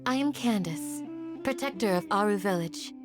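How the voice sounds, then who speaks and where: A woman playing a gentle but firm village guardian in a video game, courteous, composed and formal. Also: medium pitch, expressive, normal speed, close to an everyday voice. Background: music.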